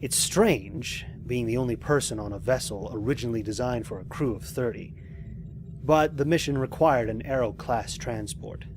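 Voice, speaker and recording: A man playing a lone spaceship commander and scientist in an audio drama, recording mission logs in a measured, reflective, precise way. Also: mid-range voice, background noise, close to an everyday voice. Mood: weary, reflective. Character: lone male spaceship commander, thoughtful scientist, quietly amazed.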